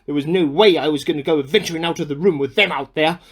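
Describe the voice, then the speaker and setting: A man's voice: a little high, fast talker, slightly rough. A man playing an agitated, paranoid amateur scientist in a radio play diary, with melodramatic delivery. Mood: alarmed, rattled. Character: paranoid male amateur scientist at war with hamsters.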